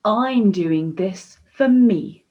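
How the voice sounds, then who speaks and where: A woman's voice: mid-range voice, expressive, extremely slow, dull audio. A woman voicing a tough, independent young princess in a storybook, speaking firmly and bluntly. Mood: determined. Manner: firm, blunt.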